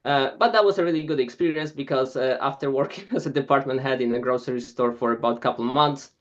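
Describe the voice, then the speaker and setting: Man, thirties, mid-pitched voice, halting presentation full of ums and uhs, dull lo-fi recording. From Poland. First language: Polish. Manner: hesitant, lots of filler sounds. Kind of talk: informal club presentation.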